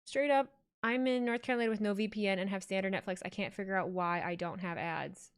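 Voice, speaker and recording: A woman's voice: mid-range voice, quick pace, clear, resonant tone. A young woman, a streamer, chatting casually and jokingly while she reads her chat aloud during a watch-along.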